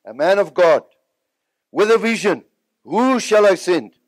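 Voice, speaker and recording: High-pitched older South African man preaching. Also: sing-song, unhurried, dry recording. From Southern Africa, born in Zimbabwe, raised partly in South Africa. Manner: emphatic, repeats phrases for effect. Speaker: preacher giving a testimony. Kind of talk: sermon-like personal testimony, storytelling to an audience.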